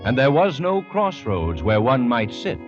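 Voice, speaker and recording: A man's voice: medium pitch, very animated, a little slow. A man giving theatrical, literary narration as the storyteller of an old-time radio drama. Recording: dull vintage radio recording with music.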